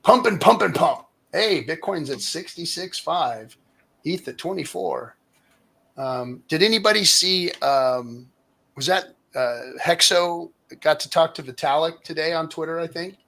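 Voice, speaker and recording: A man with a slightly high voice hosts a relaxed livestream hangout. He talks in a casual, rambling way, drifting into asides and reading out chat comments. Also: measured pace, vocal fry. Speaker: livestream host.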